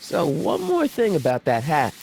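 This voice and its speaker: A man's voice: on the high side, huge pitch swings, normal speed, creaky, darkish tone, slight background noise, exaggerated voice, low-quality recording. An actor playing a polite, inquisitive young man in a video game, talking casually and a little hesitantly as he probes. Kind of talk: video game dialogue acting.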